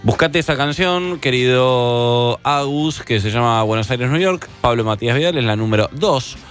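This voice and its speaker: A man's voice: medium pitch, moderate pace, faint music behind. A man with an Argentine accent hosting a radio show, chatting casually and rambling with fillers as he introduces a song from the studio. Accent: Argentine Spanish. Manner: relaxed, rambling, with fillers and self-corrections. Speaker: radio host.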